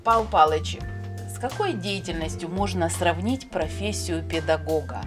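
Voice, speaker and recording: A woman's voice: fairly low pitch, lively intonation, a little slow. A woman playing a courteous, inquisitive interviewer in an educational cartoon, speaking in a formal, scripted way. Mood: polite, curious. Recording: music behind the voice.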